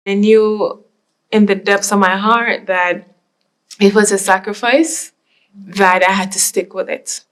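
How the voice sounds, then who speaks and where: A woman from Jamaica speaks with earnest reflection, circling back to her points as she talks. Her voice sits at a middle pitch. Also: fairly even intonation, slow pace, clean tone. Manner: reflective, earnest, repeats herself. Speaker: interviewee, immigrant mother. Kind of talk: documentary interview.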